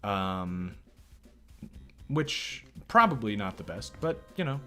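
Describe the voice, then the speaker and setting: A man's voice: lowish voice, very animated, takes it slowly, bright, forceful tone, soft background music. Profane rambling man commenting on a game. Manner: profane, rambling, reacting to chat. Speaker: let's play streamer. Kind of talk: casual gameplay commentary.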